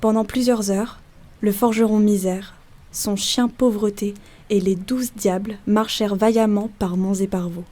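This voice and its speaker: A woman's voice: medium pitch, steady tone, unhurried, mellow. A woman narrating a literary folk tale as a scripted storyteller.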